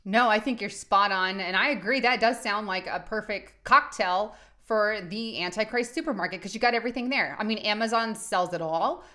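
Woman host, bright clear voice, upbeat and agreeable, on a video call from home. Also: medium pitch, normal speed. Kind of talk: casual video-call interview. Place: small room at home, indoors.

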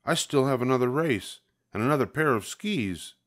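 A good-natured male ski racer from a picture book, voiced by an older man. He sounds easygoing and forgiving, pitched a little higher. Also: lively intonation, normal speed, lightly acted. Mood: friendly.

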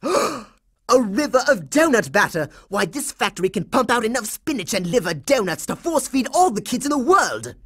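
A man's voice: very high voice, big pitch swings, moderate pace, fairly bright tone, breathy edge, exaggerated voice. A man voicing a bossy, heroic boy secret agent in a cartoon video game, dramatic and emphatic with a smug confidence. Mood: determined, musing.

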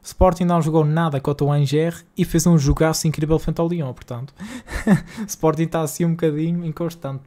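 A man's voice: on the high side, warm tone. A young man from Portugal with a northern Porto accent, streaming a game live and chatting casually with viewers in slangy, exasperated banter. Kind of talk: live-stream gaming chat. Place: home streaming room.

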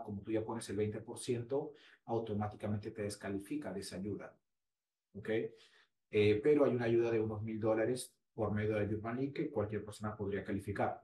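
Man, 40s, explanatory, low voice, distant laptop mic, echoey small room. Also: dark tone. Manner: explanatory, frequent "eh" and "¿no?" tags. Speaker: real estate agent. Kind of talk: explaining home buying on a video call. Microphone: laptop webcam. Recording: roomy, distant mic with faint hiss.

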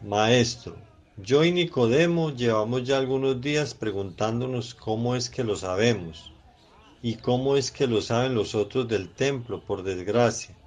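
A man playing a grave, deferential elder of the Sanhedrin in a religious radio drama, speaking in a measured, deliberate way. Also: mid-range voice, natural voice. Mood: grave, concerned.

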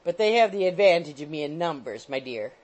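Woman doing a meek henpecked husband, lowish pitch. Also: animated, fairly quick, darkish tone, rough recording. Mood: mild, pacifying. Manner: mild, pacifying. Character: meek, henpecked husband, pacifying his wife.

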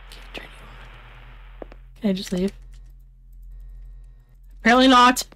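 High-voiced woman muttering through a horror game. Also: takes it slowly, a bit bright, no room sound. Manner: muttering to herself in short, wary reactions. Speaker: video game streamer. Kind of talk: casual horror game commentary.

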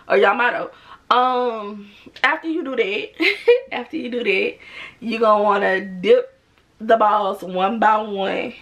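A chatty young woman talks her viewers through a recipe at home, loose and playful, speaking to them directly. Her voice sits at an ordinary middle pitch. She sounds like she is seated in front of a camera in a room. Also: fairly bright tone. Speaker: cooking vlogger. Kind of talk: casual cook-with-me chat.

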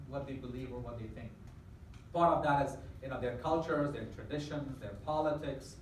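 A man's voice: medium pitch, recorded from a distance, slight background noise. Man lecturing in an echoey hall. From Afghanistan. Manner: explains with questions to the audience, walking at the front. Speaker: guest lecturer. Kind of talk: campus lecture to students. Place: large lecture hall indoors. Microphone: far camera at the back of the hall.

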